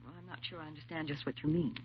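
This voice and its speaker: A woman's voice: low-pitched, fairly even intonation, racing, slight background noise, lightly acted. An actress playing a polite, concerned suburban mother in a 1950s radio drama, proper and tentative in her speech. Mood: polite, puzzled. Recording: tinny, narrow old radio recording.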